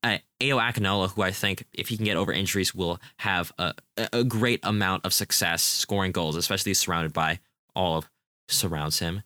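A man's voice: sits low, brisk, sharp tone, no echo, studio quality. A young man co-hosting a casual soccer preview, talking enthusiastically and rambling with frequent restarts. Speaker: podcast co-host.

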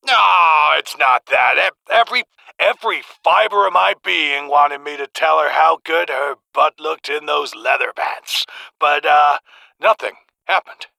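Man as sharp, creaky rattled barfly caller. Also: a little high, no room sound, heavily acted, poor audio. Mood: agitated, baffled. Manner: slangy, halting, emphatic. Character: rattled, crude barfly calling a radio show. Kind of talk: audio drama acting.

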